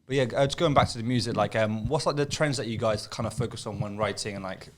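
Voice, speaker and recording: Hesitant fast-talking male host. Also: lowish voice. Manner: hesitant, many fillers when asking. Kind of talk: casual interview chat.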